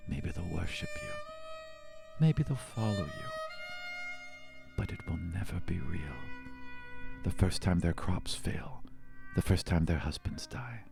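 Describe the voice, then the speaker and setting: A man voicing a solemn demigod mentor who speaks slowly and gravely, with dramatic pauses. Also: low voice, huge pitch swings, music in the background, exaggerated voice. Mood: bitter warning.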